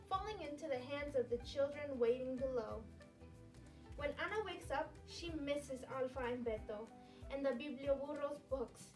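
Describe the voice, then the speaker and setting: High-pitched woman reading a children's story. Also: far from the mic, low-quality recording. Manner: animated, clearly phrased storytelling for young children. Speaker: teacher reading a picture book aloud. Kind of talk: expressive children's story read-aloud.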